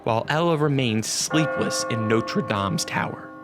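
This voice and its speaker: Male narrator, medium-pitched, theatrical measured storytelling, scripted radio drama, clear music underneath.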